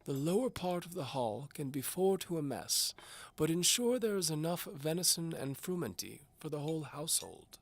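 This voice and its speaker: A doddering old nobleman in a fantasy audio drama. The voice sits high and gravelly, swooping widely through pompous, fussy, formal pronouncements with a blustering edge. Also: very animated, moderate pace. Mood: imperious. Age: older. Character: doddering old duke, fussy, imperious amateur alchemist. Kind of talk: audio drama character acting.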